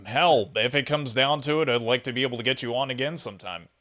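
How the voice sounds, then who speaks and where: Bright-voiced man hosting a casual interview. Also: mid-range voice, quick pace. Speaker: podcast interviewer.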